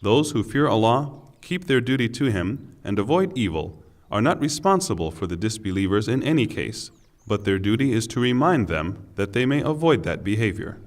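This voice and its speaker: Male narrator, lowish pitch, solemn scripted scripture reading, measured formal delivery. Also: big pitch swings. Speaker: reader of scripture translation.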